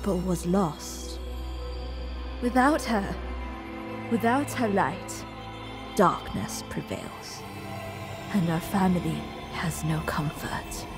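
Slow, regal dragon queen. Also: lowish voice, expressive, over music. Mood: sorrowful. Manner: solemn, commanding, deliberate. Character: ancient, regal, imperious female storm dragon. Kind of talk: dramatic game cinematic acting.

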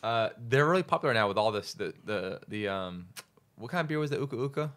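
A man in his thirties hosts a podcast in a relaxed, chatty way, often laughing and trailing off with fillers. His voice sits a little low, recorded close on a studio boom mic in a small office studio. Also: quick pace, smooth. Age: 30s. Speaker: podcast host, restaurant owner. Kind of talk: casual chat. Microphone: large studio mic on boom arm.

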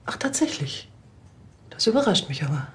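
Husky, low-voiced shrewd woman. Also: sing-song, moderate pace, exaggerated voice. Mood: dry, skeptical. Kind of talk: TV crime drama acting.

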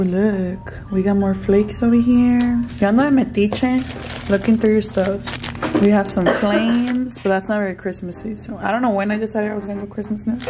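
A woman's voice: mid-range voice, noisy background. A woman in her twenties chatting and laughing in casual, profane banter while filming herself close up in a hotel room. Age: 20s. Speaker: vlogger. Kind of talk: casual chat. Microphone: handheld camera close up.

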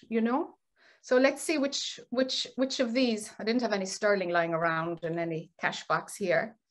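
A middle-aged woman co-hosting an online webinar, guiding the group informally with direct, short prompts over a video call. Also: on the high side. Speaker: webinar co-host. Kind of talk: informal guided group facilitation. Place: home room with bookshelves, indoors.

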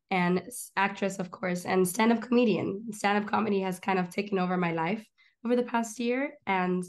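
A young woman in her twenties, born in Miami and raised in Argentina, telling her story as a guest in a casual interview, animated and fast, recorded by a laptop webcam in a small room at home. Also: on the low side. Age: 20s. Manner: animated, gestures a lot, rapid run-on storytelling. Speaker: guest, comedian and filmmaker. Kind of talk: casual video-call interview. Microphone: laptop webcam on a video call.

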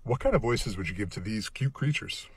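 A young man presents casually to viewers. His voice is very husky and fairly low, with lively intonation. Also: brisk, no echo. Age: young adult. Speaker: challenge presenter.

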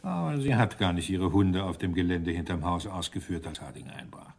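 A man's voice: low-pitched, lively intonation, fairly quick, gravelly, husky, exaggerated voice. A man playing an irritable British police inspector in a German radio crime drama, dry and commanding. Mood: explaining.

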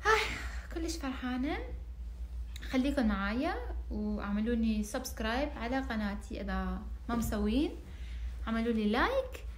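A young woman in her twenties from Iran, Persian-speaking from birth, chatting casually to camera in a small room with lively hand gestures. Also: fairly high pitch, moderate pace, long pauses, reverberant, slight background noise. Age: 20s. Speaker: vlogger. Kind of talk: casual vlog chat to camera. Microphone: phone camera at arm's length.

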